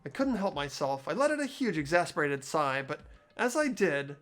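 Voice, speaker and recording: A man's voice: a little high, big pitch swings, normal speed, fairly bright tone, faint music behind. A man voicing an earnest, anxious teenage boy hero in a fan-dubbed game, who narrates his own inner thoughts. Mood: exasperated.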